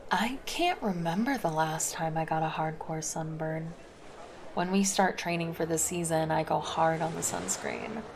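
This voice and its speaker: A woman playing a gravelly, laid-back flirty lifeguard. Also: low-pitched, measured pace, noisy background. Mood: easygoing. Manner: casual, teasing, easygoing chatter. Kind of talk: ASMR roleplay.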